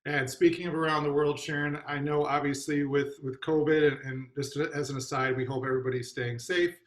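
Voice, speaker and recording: A man's voice: fairly high pitch, fairly even intonation, fairly quick. Upbeat man hosting, echoey room. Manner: upbeat, chatty, with fillers and restarts. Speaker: host. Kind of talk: livestream fundraising host.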